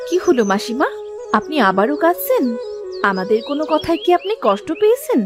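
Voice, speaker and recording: A caring young village wife, with a fairly high and very animated voice. She sounds respectful and attentive, a cartoon fairy-tale figure over faint background music. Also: a little fast. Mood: concerned.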